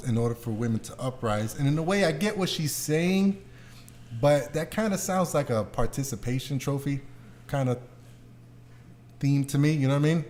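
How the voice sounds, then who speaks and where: A man talking casually on a podcast as one of the hosts. He has a mid-pitched voice and a joking, conversational delivery peppered with slang. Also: a little mellow, a little hiss or hum. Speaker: podcast co-host. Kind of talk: casual chat.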